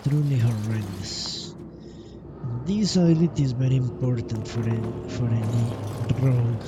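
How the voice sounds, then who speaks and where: Man muttering through game commentary over loud music. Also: medium pitch, unhurried. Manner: swearing, thinking aloud, pessimistic. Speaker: game streamer. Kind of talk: live gameplay commentary, casual muttering. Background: loud video game music and sound.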